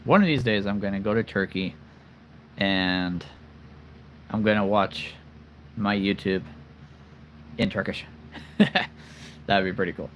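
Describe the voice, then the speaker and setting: A man's voice: fairly low pitch, unhurried, long pauses, a little hiss or hum. A man hosting a casual livestream, talking earnestly and rambling with many fillers and restarts. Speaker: collectible figure channel host.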